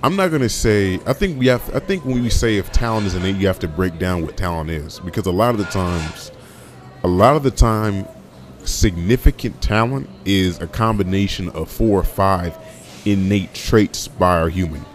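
A young man with a very deep, low voice hosts a casual podcast on his own. He rambles and restarts his sentences often, thinking out loud. Faint music plays softly behind him. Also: normal speed. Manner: rambling, full of restarts and fillers. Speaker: podcast host. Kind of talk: casual solo podcast talk.

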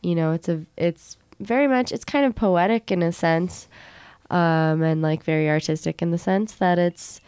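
A woman's voice: lowish voice, normal speed, darkish tone, clear, resonant tone, no echo. A woman guitarist and songwriter talking about her band's music in a casual, rambling, reflective way with many fillers. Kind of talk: casual interview chat.

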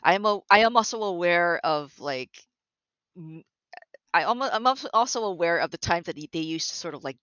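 A woman guest in a conversational interview, talking in an animated way with many restarts. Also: on the low side, a little fast, dry recording, lo-fi sound. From Canada. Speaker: concept artist, guest.

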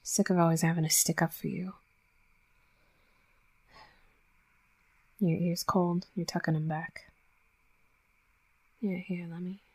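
A woman's voice: lowish voice, little pitch movement, moderate pace, creaky, darkish tone, slightly rough, no room sound, poor audio. A woman voicing a tough young female werewolf bully in an intimate roleplay audio drama, brash and sweary but grudgingly tender. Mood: gruff, scolding.

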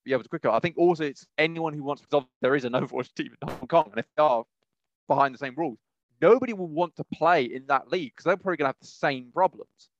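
A man's voice: fairly high pitch, fast talker, dry recording, dull audio. A young British man chatting casually as a podcast co-host, rambling and often circling back mid-sentence. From United Kingdom.

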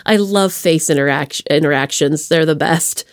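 A woman's voice: low-pitched, normal speed, no echo, studio quality. A woman hosting a podcast, chatting casually with playful enthusiasm and informal slang. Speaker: podcast host interviewing a guest. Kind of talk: casual upbeat chat. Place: remote video call.

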